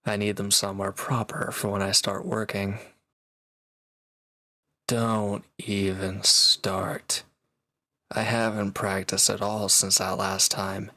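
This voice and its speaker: A man playing a proud, teasing reformed villain and swordsman in an intimate audio roleplay, talking cockily to one listener in a gravelly voice. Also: on the low side, bright, forceful tone, no room sound, heavily acted.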